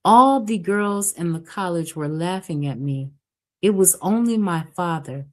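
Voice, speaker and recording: A woman's voice: on the low side, animated, a little slow, darkish tone. A woman voicing a shy, earnest young village woman in a storybook reading.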